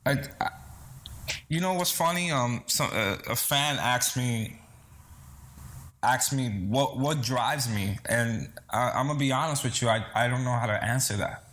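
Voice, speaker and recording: A man's voice: medium pitch, unhurried, fairly bright tone. A man from the Bronx, talking casually and earnestly in an interview, hesitating and repeating words. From Bronx, New York City, early childhood in the Dominican Republic. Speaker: interview guest, singer-songwriter.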